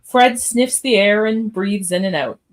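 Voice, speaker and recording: A woman's voice: medium pitch, a little slow. Female narrator, mid-range voice, reading scene directions for a live radio-style script read.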